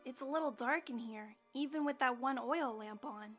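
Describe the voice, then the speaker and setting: A woman as a high-voiced country filly. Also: moderate pace, music in the background, close to an everyday voice. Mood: puzzled, determined. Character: plucky young country filly pony, earnest, practical group leader.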